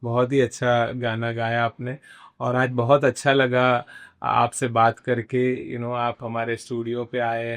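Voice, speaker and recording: A man's voice: mid-range voice, fairly bright tone. A man hosting a podcast interview in a studio, polite and encouraging, slipping English phrases into his talk. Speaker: podcast host.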